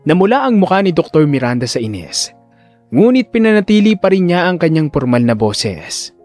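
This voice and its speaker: Animated male drama narrator. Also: on the high side, moderate pace, soft background music. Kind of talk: dramatic scripted story narration.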